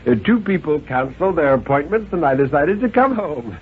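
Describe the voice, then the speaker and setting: A man's voice: on the high side, animated, brisk, breathy edge, phone-quality sound, pitched above the performer's own voice. A man voicing a prickly, overbearing father in a dramatic story reading. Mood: pointed, testy. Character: prickly, overbearing father, a washed-clean suburban dentist.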